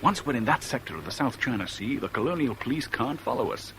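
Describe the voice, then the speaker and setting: An actor playing a sharp, sardonic, impatient smuggler on the run in a 1970s radio drama. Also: male voice, mid-range voice, lively intonation, quick pace, close to an everyday voice. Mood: curt, impatient. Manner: sardonic, curt. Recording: noisy vintage radio drama, sound effects behind.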